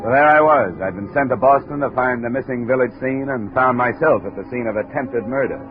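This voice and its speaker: An actor playing a wry, blunt insurance investigator in a 1950s radio detective drama, speaking in clipped, sardonic phrases. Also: male voice, medium pitch, fairly quick, natural voice. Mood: wry narration. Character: hard-boiled, wry male insurance investigator. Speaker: lead actor in a radio series. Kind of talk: 1950s radio detective drama, first-person narration and dialogue. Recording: tinny 1950 radio recording with music.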